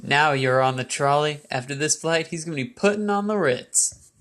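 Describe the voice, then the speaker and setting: A man's voice: on the high side, normal speed, fairly bright tone, close to an everyday voice. A young man playing an upbeat 1920s radio news host, with showy announcer phrasing and period slang. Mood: admiring. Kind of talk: scripted 1920s radio news show acting.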